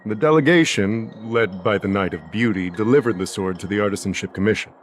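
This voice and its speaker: An actor playing a shrewd, insistent investigator in a video game, deliberate and logical as he reasons and questions. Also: on the low side, natural voice. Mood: measured, reasoning. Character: shrewd, insistent male investigator pressing an accusation. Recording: music behind the voice.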